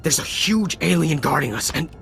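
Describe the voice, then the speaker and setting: A cocky young ensign w/ a smart mouth, faint music behind. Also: male voice, on the high side, lively intonation, moderate pace, creaky, fairly bright tone. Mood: panicked. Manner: sarcastic, jokey, stammers when scolded. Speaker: rescued junior officer.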